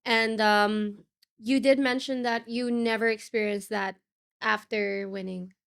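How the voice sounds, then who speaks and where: A Filipino woman with a Filipino accent hosts a casual interview, gushing warmly over her guest and dropping Tagalog words into her English. She talks at an unhurried pace, and her voice is wearing thin as she loses it. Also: mid-range voice, steady tone, gravelly, clean tone, no echo, clean studio sound. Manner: effusive, mixes Tagalog into English. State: losing her voice. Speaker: podcast host.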